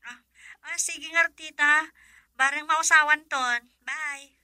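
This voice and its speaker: A girlish young woman in a radio drama, extremely high and piercingly bright. She is insistent yet polite, and sounds close and dry. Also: fairly even intonation, slow, dry recording, exaggerated voice. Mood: eager, disappointed.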